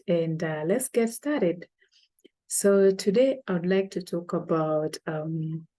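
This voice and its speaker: Ugandan woman hosting, soft mellow voice. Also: lowish voice. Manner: unscripted, many fillers and pauses, quotes her own past thoughts. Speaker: channel host. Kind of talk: casual talk to camera.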